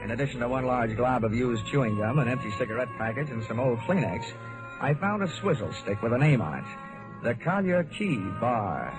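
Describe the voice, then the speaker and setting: A man's voice: medium pitch, big pitch swings, fairly quick, natural voice, rough recording. An American man acting a wry, hard-boiled insurance investigator, narrating drily in an old-time radio detective drama. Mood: dry, world-weary. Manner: wisecracking, dry first-person narration. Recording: vintage radio recording, music behind.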